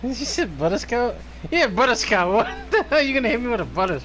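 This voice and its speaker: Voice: medium pitch, sing-song, fast talker, fairly bright tone, faint music behind. A game streamer chatting casually, in a teasing, sweary way. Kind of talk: casual live gaming chat.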